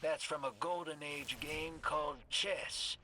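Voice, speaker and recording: A man's voice: fairly high pitch, fairly even intonation, a little slow, noisy background, close to an everyday voice. An actor playing a weary male frontier scavenger with a drawling, folksy, reflective manner. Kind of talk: video game voice acting.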